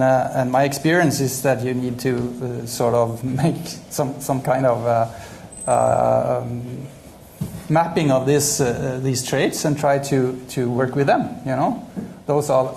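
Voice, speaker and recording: A man's voice: medium pitch, a little slow, gravelly, a little mellow, slight background noise. A man answering on a conference panel, filling his talk with "you know" and "uh". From Norway. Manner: many fillers, "you know" often. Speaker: head of innovation, panelist.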